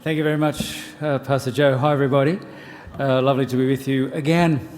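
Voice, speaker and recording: An Australian man in his sixties talks to a church gathering in a relaxed, joking way, with plenty of "uh" fillers. His mid-pitched voice carries a long echo from the church hall. A faint hiss sits under it. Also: quick pace. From New South Wales, Australia. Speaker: family ministries pastor. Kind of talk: church workshop talk.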